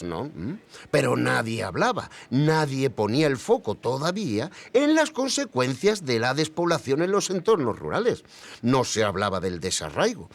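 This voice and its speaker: A man with an accent from Spain playing an academic historian, explaining reflectively with small questioning murmurs. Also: medium pitch. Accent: Spanish from Spain. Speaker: expert interviewee. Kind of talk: conversational expert interview.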